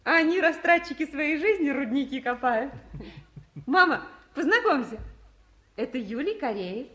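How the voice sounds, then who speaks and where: An actress playing a romantic, impulsive young daughter, earnest and lyrical, in 1950s Soviet radio play style. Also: female voice, high-pitched, expressive, normal speed, fairly bright tone. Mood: breathless, enraptured. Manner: girlish, lyrical, theatrical.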